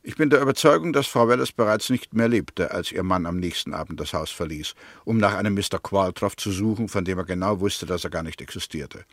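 An actor playing a firm, methodical prosecutor in a 1960s German radio drama, speaking formally and precisely. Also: male voice, low voice, expressive, brisk, hardly any pauses, no echo, studio quality. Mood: firm, accusing. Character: male prosecutor, methodical, firm, convinced of guilt. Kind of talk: 1960s German radio drama acting, courtroom-style argument.

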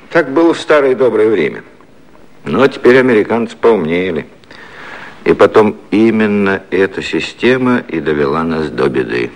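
Ворчливый старик премьер-министр с живыми интонациями. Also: male voice, low voice. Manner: theatrical, grumbling, hesitating. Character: aggrieved, blustering elderly British prime minister. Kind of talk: 1950s satirical radio play acting.

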